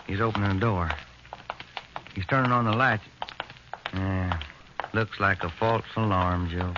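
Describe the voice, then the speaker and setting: A man's voice: low voice, steady tone, unhurried. A man playing an easygoing detective partner who sounds weary and grumbles about the job, in 1940s radio drama style. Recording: muffled, hissy old radio broadcast.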